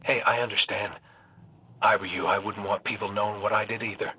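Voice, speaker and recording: An actor playing a cocky, wisecracking robot gunslinger in a video game, with an American accent and a wry, folksy, sardonic way of talking. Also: male voice, lowish voice, little pitch movement, brisk, pushed and bright, slightly rough, heavily acted. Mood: wry menace. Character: cocky wisecracking male robot gunslinger, roguish hunter leader. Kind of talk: video game voice acting, recorded farewell messages.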